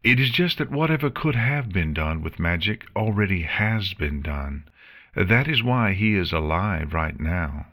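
A man's voice: sits low, animated, a bit bright, voice pushed up, exaggerated voice. A man voicing a nervous, formal female pony nurse who keeps apologizing. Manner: formal, anxious, quick to apologize.

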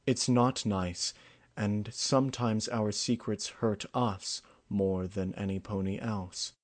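A man voicing a grown, regal pony mare in a storybook reading, burdened yet gentle, with formal, measured wording. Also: fairly low pitch, steady tone.

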